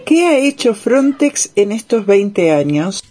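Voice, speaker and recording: A woman's voice: mid-range voice, very animated, slow pace, mellow, husky. A woman radio host reading a prepared introduction and asking interview questions. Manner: reads a prepared script, then asks questions. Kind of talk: scripted news-style introduction.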